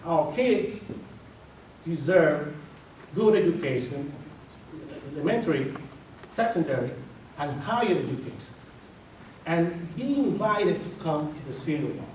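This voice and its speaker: A man with a Dominican Spanish accent speaks in a fairly high voice, giving an emphatic campaign speech at a forum and driving his points home by repeating them. He sounds far from the microphone in a very echoey hall, and the radio recording is dull. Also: animated, unhurried, pauses often, darkish tone, a little hiss or hum. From Dominican Republic. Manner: emphatic, repetitive, builds to firm declarations. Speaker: mayoral candidate.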